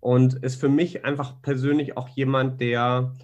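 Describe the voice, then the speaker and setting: A man leading a student ministry event, introducing the next speaker in a halting way, with many fillers. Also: mid-range voice, flat delivery, a little mellow, lo-fi sound. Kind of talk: casual introduction.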